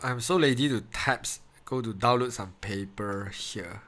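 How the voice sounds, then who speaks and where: A man's voice: mid-range voice, measured pace, a bit bright. A young man from Malaysia with a Malaysian English accent, chatting casually with students on a live-stream maths class, laughing often and talking in loose, easygoing grammar. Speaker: online maths tutor.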